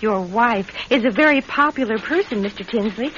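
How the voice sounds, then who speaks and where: A young, eager secretary in an old-style radio drama. Her voice is on the high side, polite and apologetic, tripping over itself when flustered. The recording is muffled, with light noise underneath. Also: lively intonation, normal speed, fairly bright tone. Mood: chatty, nervous.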